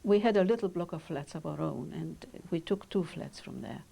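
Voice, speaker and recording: Gravelly-voiced older woman recalling her childhood. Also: low voice, mellow. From Romania. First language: Hungarian. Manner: measured, reflective, with pauses and hesitations. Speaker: interviewee recounting her childhood. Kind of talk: oral history interview.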